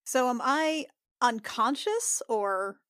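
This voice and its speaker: A woman's voice: high-pitched, lively intonation, slow, fairly bright tone, no room sound. Woman bantering, dry close sound. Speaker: player in a tabletop roleplaying podcast. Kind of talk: casual in-game banter.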